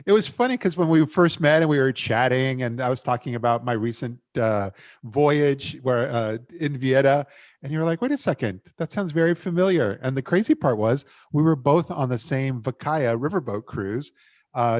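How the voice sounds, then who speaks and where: A man's voice: medium pitch, lively intonation, normal speed, darkish tone, dry recording. A man hosting an interview show, chatting enthusiastically with many fillers. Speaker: show host. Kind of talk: casual interview chat.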